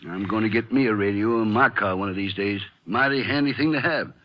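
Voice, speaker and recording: An actor playing a nervous, talkative small-town marshal with a rambling Texan drawl, in a 1950s radio western style. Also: male voice, lowish voice, fairly quick, a bit bright, close to an everyday voice. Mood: uneasy. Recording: hissy old radio drama recording.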